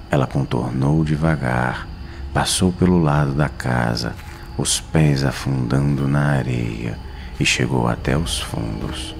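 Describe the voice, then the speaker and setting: A Brazilian man narrating a scripted horror story in a dramatic, suspenseful manner with deliberate pauses. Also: extremely low pitch, slight background noise. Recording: narration over clear background music. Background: music.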